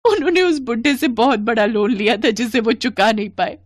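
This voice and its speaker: A woman playing an expressive young college girl in dramatic story dialogue. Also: fairly high pitch, fairly quick, no echo, clean studio sound, natural voice. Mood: distressed. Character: young college girl, lovestruck, teasing, later frightened and pleading. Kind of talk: animated Hindi story dialogue.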